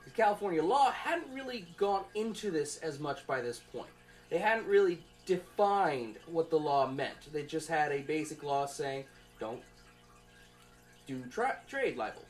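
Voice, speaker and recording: A young man giving an informal student presentation from notes, in a halting, conversational way. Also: a little high, expressive. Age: young adult.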